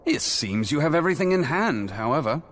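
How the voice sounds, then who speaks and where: A man's voice: on the high side, big pitch swings, fairly quick, a bit bright, slight background noise. A man playing a composed, serious adventurer in a fantasy video game. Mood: grave.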